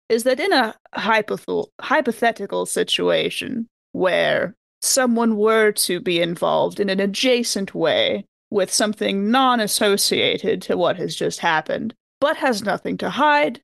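A woman's voice: mid-range voice, vocal fry, dry recording. A woman playing a formal scholarly investigator in a tabletop game, speaking in wordy, careful, precise phrases. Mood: dry, careful.

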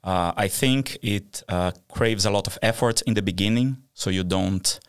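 Deep raspy guy, thoughtful and slow-ish, dry close sound. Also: no room sound. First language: not Swedish. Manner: thoughtful, measured, with fillers. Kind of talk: casual group chat.

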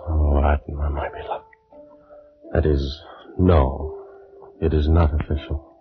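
Very deep, ardent ancient Egyptian sorcerer. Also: male voice, little pitch movement, slow pace, heavily acted. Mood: bashful, adoring. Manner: formal, earnest, theatrical. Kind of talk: 1940s-50s radio drama acting. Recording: tinny old radio recording with music.

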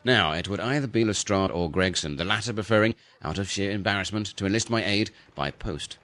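A man's voice: fairly low pitch, a little fast, fairly bright tone, close to an everyday voice. A man playing an aloof, arrogant Victorian detective in an audio drama, formal, wordy and condescending. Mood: smugly superior.

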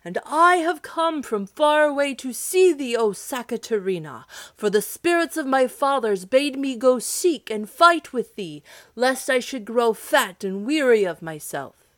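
A woman voicing a proud young male warrior hero who shouts his words in a loud, declamatory way. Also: sits high, lively intonation, measured pace, dry recording, pitched above the performer's own voice. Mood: defiant.

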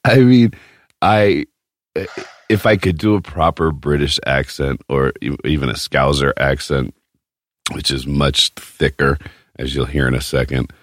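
A man's voice: a bass voice, fairly bright tone, no room sound, studio quality. A man hosting a casual podcast chat, talking in a brash, crude, joking way. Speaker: podcast host.

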